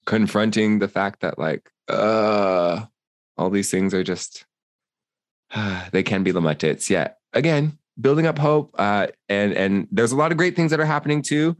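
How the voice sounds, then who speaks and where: Man, very low voice, dry close sound, rambling casual podcast talk, lots of fillers. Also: animated, a little slow, dry recording. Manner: rambling, filler-heavy, earnest. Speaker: podcast co-host. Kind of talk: casual chat.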